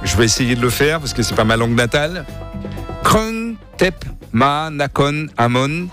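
Male morning radio host, mid pitch, playful and theatrical, soft music under. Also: lively intonation, unhurried.

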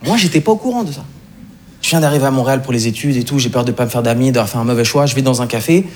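A young man from Switzerland telling self-mocking stories as an animated, conversational stand-up comedian on a live stage. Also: medium pitch, rapid-fire, slight background noise. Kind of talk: stand-up storytelling to a live audience.

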